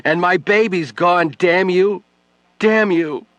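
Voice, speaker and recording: A man's voice: sits high, slow pace, a bit bright, dry recording, pitched above the performer's own voice. A man reading an audiobook, voicing a drunk, grief-stricken young widow who slurs and shrieks her accusations. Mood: anguished. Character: drunk grieving young widow, furious, slurring.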